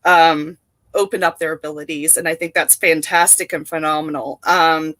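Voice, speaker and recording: A woman's voice: deep voice, fairly even intonation, moderate pace, a bit bright. Chatty, giggly woman from Britain. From Scotland and England. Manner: giggly, laughs through sentences. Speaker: guest psychic medium. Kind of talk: casual animated chat.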